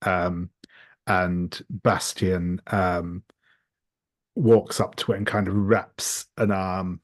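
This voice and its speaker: A man's voice: deep voice, flat delivery, extremely slow, dry recording, clean studio sound. A man playing a tabletop roleplaying game, describing the action hesitantly as he searches for words.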